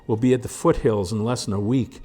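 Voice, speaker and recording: A man voicing a gruff, plain-spoken frontier scout with an in a storybook reading. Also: lowish voice, fairly even intonation, brisk, mellow, lightly acted. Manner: folksy, gritty, plain-spoken.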